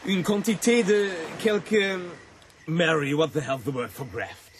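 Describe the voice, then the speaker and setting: An actor playing a brash, joking young tourist, breezy and sardonic in radio drama dialogue. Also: male voice, on the high side, sing-song, unhurried, slight background noise. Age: young adult.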